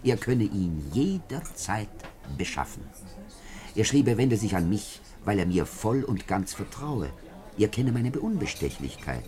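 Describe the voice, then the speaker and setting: An actor playing a self-important middle-aged French parliamentary deputy in a 1950s German radio drama, speaking in a pompous, deliberate, emphatic way. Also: male voice, on the low side, sing-song, mellow.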